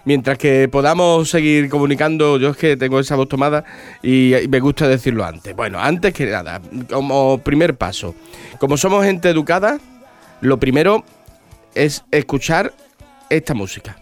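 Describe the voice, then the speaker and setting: Congested radio host with a cold. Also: male voice, medium pitch, expressive, moderate pace, soft background music. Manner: hesitant, with many fillers and restarts. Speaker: radio book show host. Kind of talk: casual radio interview.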